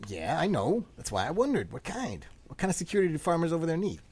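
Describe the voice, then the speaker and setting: Shady little brother played by a woman: very low husky voice, lively swings, cocky and folksy radio drama delivery. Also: fast talker, full character voice. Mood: prodding. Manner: glib, folksy, defensive. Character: cocky, defensive kid brother, shady antiques dealer. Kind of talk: live radio play acting.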